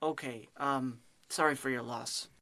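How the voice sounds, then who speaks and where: An actor playing a nervous, aggrieved but harmless pulp magazine writer in a radio drama, with an anxious, whiny, rambling manner. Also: male voice, medium pitch, fairly even intonation, a little slow, heavy background noise, close to an everyday voice. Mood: flustered, sheepish.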